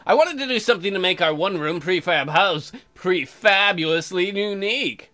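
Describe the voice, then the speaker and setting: A man's voice: high voice, animated, moderate pace, bright, forceful tone. A man hosting a let's play, mixing crude, mocking commentary with reading game text aloud.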